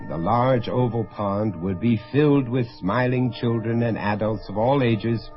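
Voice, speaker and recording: A man delivering dramatic scripted narration for a radio drama. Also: fairly low pitch. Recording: muffled lo-fi radio broadcast.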